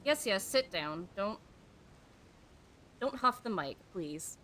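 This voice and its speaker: Female game streamer, casual and reactive, leisurely delivery, bright tone, faint background rumble. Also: animated, slow pace. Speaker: streamer playing a video game. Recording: background noise with low rumble.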